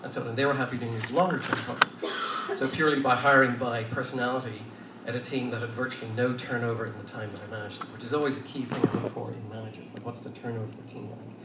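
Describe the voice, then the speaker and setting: A man's voice: medium pitch, steady tone, quick pace. A middle-aged man with an Irish accent, speaking to an audience in a loose, anecdotal way with many fillers. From Ireland. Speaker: panel speaker. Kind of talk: conversational talk to an audience. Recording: roomy far-off hall recording with noise.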